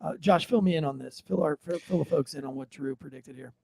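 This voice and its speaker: Guy hosting a podcast, slangy n irreverent, creaky voice, real dry sound. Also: a little high, fast, warm tone, no room sound. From Florida. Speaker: podcast host. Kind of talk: casual news chat.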